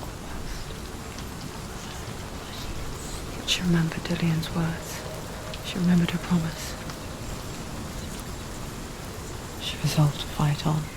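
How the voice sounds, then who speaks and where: An actress playing an intimate, foreboding narrator inside a troubled warrior's mind, in a breathy, whispery voice. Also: female voice, low voice, flat delivery, background noise, close to an everyday voice. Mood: ominous. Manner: slow, hushed, foreboding. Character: soft-spoken female narrator voice haunting a troubled warrior's mind.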